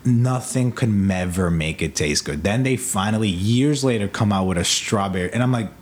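A man who is a guest on a podcast, telling animated stories in casual slang with many restarts. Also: lowish voice, moderate pace.

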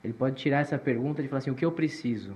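A man's voice: mid-range voice, very fast, a little mellow, highs cut off. A man co-hosting a casual talk, speaking animatedly and acting out quoted thoughts. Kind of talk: casual interview chat.

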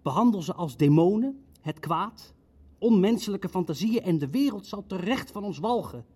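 An actor playing an arrogant, legalistic Nazi official in a radio play, arguing formally and insistently. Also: male voice, high-pitched, expressive, normal speed, darkish tone, slightly rough. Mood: vehement, grave.

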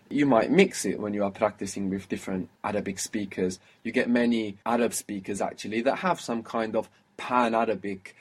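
Husky-voiced male language teacher. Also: lowish voice. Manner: patient, explanatory, spells out sounds slowly. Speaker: Arabic teacher. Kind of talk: one-on-one language lesson.

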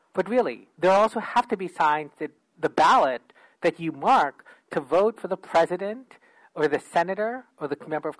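A man's voice: on the high side, no room sound, low-quality recording. Earnest advocate, man, dry recording. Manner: earnest, persuasive argument. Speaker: legal advocate. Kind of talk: interview soundbite.

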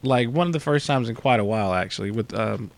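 A thirty-year-old man with a gravelly voice, co-hosting a podcast in a laid-back, informal way with some swearing. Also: medium pitch, fast. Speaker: podcast co-host. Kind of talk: casual podcast chat.

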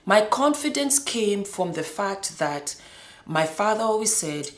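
A woman's voice: medium pitch, big pitch swings, measured pace. A middle-aged woman from Nigeria and Scotland giving a personal testimony, speaking emphatically and repeating words for stress. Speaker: former model telling her life story. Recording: roomy, echoing indoor sound.

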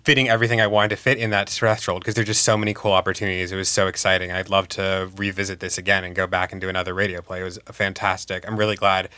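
A man's voice: sits low, little pitch movement, very fast, few pauses, pushed and bright, no echo. An enthusiastic, emphatic man giving conversational interview answers as a playwright.

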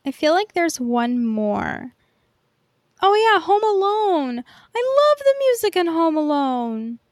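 A woman's voice: very high-pitched, big pitch swings, slow pace, no echo, clean studio sound. A woman co-hosting a podcast in casual pop-culture chat, informal and enthusiastic. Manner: informal, enthusiastic, swears freely. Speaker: podcast co-host.

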